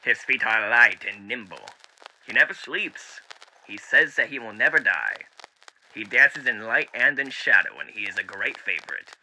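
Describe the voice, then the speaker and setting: A man voicing a dapper, sinister radio demon who reads a story theatrically and deliberately through an old radio filter. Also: fairly low pitch, animated, slight background noise, full character voice, low-quality recording. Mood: sinister glee. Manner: theatrical, deliberate, with hushed dips and swells. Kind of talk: dramatic book reading as old-time radio host.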